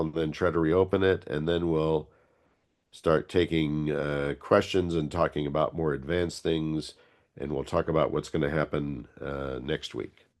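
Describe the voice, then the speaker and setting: Deep-voiced man, slightly stuffed up. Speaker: workshop instructor. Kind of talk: informal online tutorial, explaining a software demo. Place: video call.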